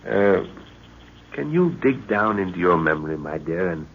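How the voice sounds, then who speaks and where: An avuncular older professor and expedition leader in a vintage radio serial. His pitch moves in wide, animated swings with musing pauses, on a dull, noisy recording. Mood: fatherly. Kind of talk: old-time radio drama acting.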